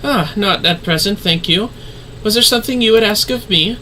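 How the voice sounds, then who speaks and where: A woman voicing a composed, gracious female leader in a fantasy game, speaking in formal, archaic, measured phrases. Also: mid-range voice, pushed and bright, slight background noise, lightly acted, poor audio. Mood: composed, courteous.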